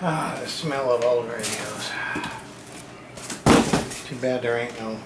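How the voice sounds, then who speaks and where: A man's voice: medium pitch, fairly even intonation, slow pace, very noisy. A man casually narrating a hands-on unboxing as a radio repair hobbyist, talking to himself in folksy short fragments.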